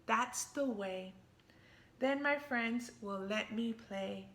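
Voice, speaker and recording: Woman playing earnest schoolboy shark for a picture book, deliberate rhyming delivery, roomy sound. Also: on the high side, a bit bright. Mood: careful, self-coaching. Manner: sing-song rhyming, earnest. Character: eager young boy shark, kind and earnest schoolchild.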